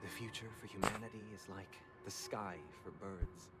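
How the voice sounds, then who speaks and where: An actor playing a composed, solemn young man in a video game, a persuasive brother speaking in measured, formal phrases. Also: deep voice, steady tone, close to an everyday voice. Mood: solemn persuasion. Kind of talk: dramatic video game dialogue. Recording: music behind the voice.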